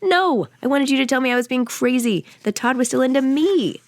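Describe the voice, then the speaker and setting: A woman's voice: high voice, lively intonation, brisk, no echo, pitched above the performer's own voice. Woman playing an urgent, raised-voice dramatic teenage girl. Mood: indignant. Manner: urgent, insistent, exasperated. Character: distraught, dramatic fifteen-year-old teenage daughter.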